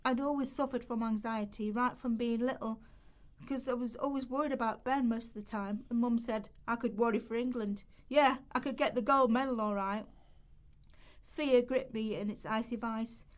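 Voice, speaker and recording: Radio play: woman as anxious young woman on benefits, high voice, confessional, worn down. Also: steady tone, normal speed, close to an everyday voice. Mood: despairing, confiding. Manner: confessional first-person storytelling. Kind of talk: rehearsed radio play monologue.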